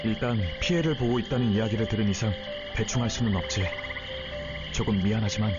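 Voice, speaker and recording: A man voicing a gentle, thoughtful and protective young companion in a Korean game, earnest and caring. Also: fairly low pitch, steady tone, quick pace, lo-fi sound, over loud music, close to an everyday voice. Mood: calm, curious. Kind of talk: Korean game character voice acting.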